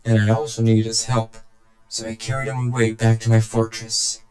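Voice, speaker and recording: An actor voicing a scheming, vengeful game villain who tells his story in a deliberate, condescending, smug way. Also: on the low side, monotone, darkish tone, echoey room, faint music behind, lightly acted. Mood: smug, taunting. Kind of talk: dramatic video game cutscene monologue.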